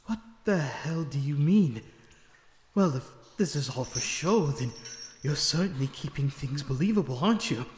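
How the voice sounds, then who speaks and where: A man's voice: fairly high pitch, a little mellow. Proud captive male vampire in echoing reverb, acted by a man. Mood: confused, indignant. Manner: formal, articulate, theatrical phrasing with halting pauses. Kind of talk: dramatic audio roleplay monologue, addressed to listener. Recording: echoey dungeon-like reverb, audible background noise.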